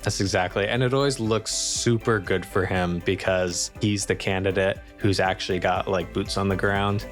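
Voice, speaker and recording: A man's voice: low voice, steady tone, moderate pace, hardly any pauses, faint music behind. A man running a tabletop game as game master, narrating casually and improvising as he goes.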